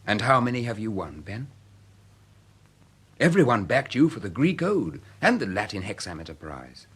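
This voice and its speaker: An actor playing a good-hearted, plain-spoken young student with an upper-class English accent in a period radio drama. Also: male voice, on the low side, sing-song, moderate pace, fairly bright tone, slightly rough, full character voice. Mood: reproachful. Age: young adult.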